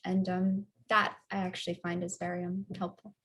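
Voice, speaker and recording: A woman's voice: fairly low pitch, flat delivery, normal speed, a bit bright. A woman playing a tired but grateful mother of young children in documentary theater, conversational and hesitant.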